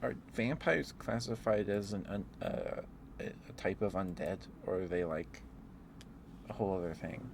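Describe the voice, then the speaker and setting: A man's voice: deep voice, moderate pace, creaky, noisy background. A man doing a casual ASMR ramble as a livestreamer, playfully musing aloud and letting thoughts trail off.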